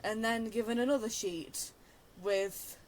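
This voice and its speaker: Rambling young woman, slightly high voice. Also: a little slow, slight background noise, poor audio. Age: young adult. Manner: chatty, digressive, self-deprecating. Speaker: game streamer. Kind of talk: casual rambling game commentary.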